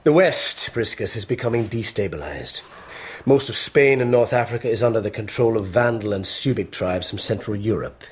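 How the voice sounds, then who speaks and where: Radio drama: stern imperial envoy, husky and fairly low, formal, authoritative. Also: male voice, animated. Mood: grave, urgent. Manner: formal, measured, briefing. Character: grave male imperial Roman ambassador, authoritative strategist.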